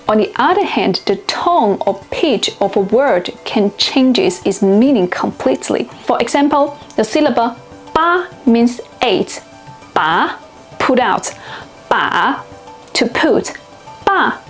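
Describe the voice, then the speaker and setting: Young female host, Chinese-native, fairly high pitch, clear and deliberate teacher delivery over faint background music. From China. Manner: clear, deliberate, slows down to pronounce example words. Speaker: language teacher and video host. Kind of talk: scripted educational narration.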